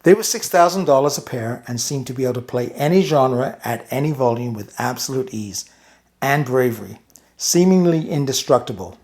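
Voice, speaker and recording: A man's voice: mid-range voice, animated, moderate pace, a little mellow. A man hosting and reviewing audio gear, speaking as an interviewer and reviewer.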